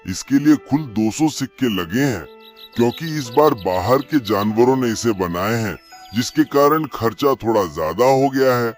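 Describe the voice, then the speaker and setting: A scheming fox serves as minister in an animated jungle tale, voiced by a man. The pitch sits in the middle for a male voice. The manner is obliging yet calculating, with soft music behind. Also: moderate pace, lightly acted. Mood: deferential. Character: sly, greedy fox, deferential royal minister of the jungle. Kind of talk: cartoon story character acting.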